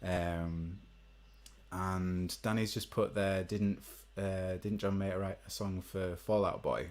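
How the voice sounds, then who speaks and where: A young man with a deep voice, chatting casually to live-stream viewers between songs, rambling with many ums. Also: fairly even intonation, slow, a little mellow. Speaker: musician hosting a live stream. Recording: faint guitar behind.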